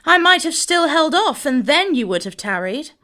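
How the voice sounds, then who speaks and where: A woman's voice: sits high, big pitch swings, normal speed, pushed and bright, heavily acted. An actress playing a lovesick, pleading young Trojan woman in a Shakespearean audio drama, sighing and lingering over her words. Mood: pleading, wistful.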